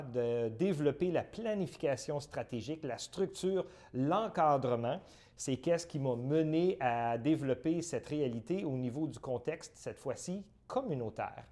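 A middle-aged man from Northern Ontario, answering interview questions in measured, list-like phrases with frequent hesitations. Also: mid-range voice, warm tone. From Northern Ontario, Canada. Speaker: guest, community centre director.

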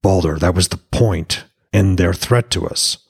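Man as hard-line Norse god-king, very low husky voice, commanding, measured, fantasy audiobook. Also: exaggerated voice. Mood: stern.

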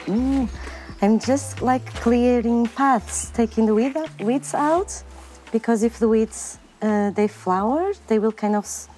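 A woman from Portugal, answering interview questions in a soft-spoken way while weeding outdoors on a farm, searching for words now and then. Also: fairly high pitch, slow, faint music behind. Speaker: farm grower. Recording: faint outdoor noise behind.

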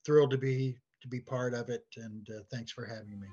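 A man speaking as a podcast guest in a halting, conversational way, often repeating words as he goes. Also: mid-range voice, fairly even intonation, warm tone, slightly rough, highs cut off, soft background music. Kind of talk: conversational discussion.

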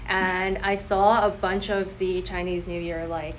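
Lively storytelling woman panelist. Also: lowish voice, measured pace, a little hiss or hum, rough recording. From Canada. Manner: animated storytelling, laughs often. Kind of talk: casual panel discussion.

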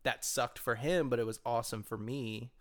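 A man in his mid-twenties with a smooth, resonant voice co-hosts a podcast. He talks at an even middle pitch in a relaxed, reflective way, stringing his thoughts into long hedged sentences. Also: normal speed. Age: 20s. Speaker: podcast co-host. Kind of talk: casual chat.

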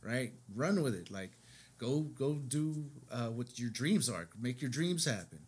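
American man, husky rough-edged voice, medium pitch, podcast host, casual, encouraging, frequent check-in questions. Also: animated, a little slow. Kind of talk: casual conversational interviewing.

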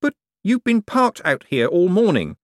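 Audiobook role: indignant male swindler played by a male narrator, exasperated, sarcastic, animated pitch, dry studio sound. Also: normal speed, no echo. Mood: indignant, baffled. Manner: exasperated, protesting. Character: harried, indignant male con artist, quick-tongued and sarcastic.

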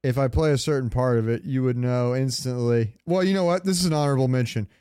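A man's voice: medium pitch, quick pace, warm tone, smooth, dry recording, studio quality. A man co-hosting a casual podcast, talking in a rambling, enthusiastic fan's way. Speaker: podcast co-host. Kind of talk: casual chat about games.

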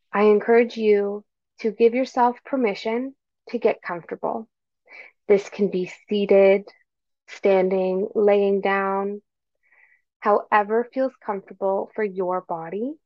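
A woman leading a guided meditation with gentle, soothing, measured phrasing and pauses between instructions. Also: mid-range voice, no echo, dull audio.

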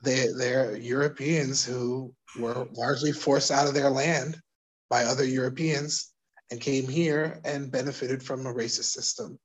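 A man from Brooklyn with a New York accent, speaking thoughtfully as an interview guest, pausing and restarting as he works through his ideas. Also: mid-range voice, fairly even intonation, rough recording. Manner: thoughtful, hesitant, with restarts and soft laughs. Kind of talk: casual interview conversation.

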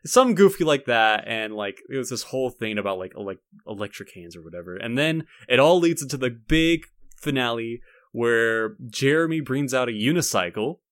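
A young man co-hosting a podcast, reminiscing casually in a halting, rambling way with frequent repeated words. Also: medium pitch, animated, dry recording, clean studio sound. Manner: halting, repetitive, rambling delivery. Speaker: podcast co-host.

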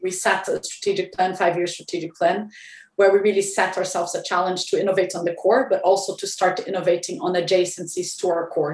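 A woman executive from Brazil, a guest in a business interview, talking in a measured way and thinking aloud with restarts. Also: on the low side, darkish tone, roomy sound, recorded from a distance, rough recording. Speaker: company president, podcast guest.

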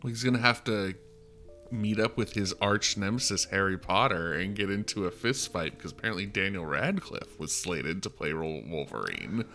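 Bright-voiced young man, rambling jokes. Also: low voice, expressive, moderate pace, soft background music. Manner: rambling, jokey, trails off quietly. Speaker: podcast co-host. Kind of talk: casual chat.

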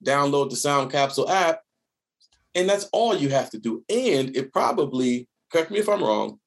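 A man hosting a casual podcast, asking questions in a lively, playful way. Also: a little high, moderate pace, creaky. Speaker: podcast host.

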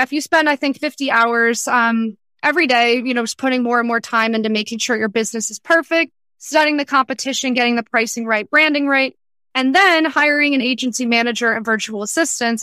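Bright, high-voiced young woman. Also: clear, resonant tone, dry recording. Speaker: podcast guest, freelance entrepreneur. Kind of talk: casual interview chat.

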